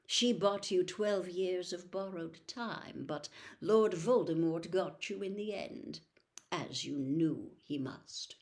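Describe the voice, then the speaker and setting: A woman voicing a sinister teenage male dark wizard in a storybook, with a gloating, menacing, theatrical delivery. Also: medium pitch, lightly acted. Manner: menacing, theatrical, dropping to hushed quiet.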